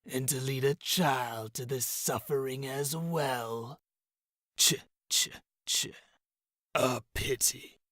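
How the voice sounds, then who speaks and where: Cruel goblin king, put on by a man, slow hissing drawl, sharp edged tone, storybook villain. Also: mid-range voice, fairly even intonation, dry recording, studio quality. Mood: sneering. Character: cruel hulking male goblin king, sneering.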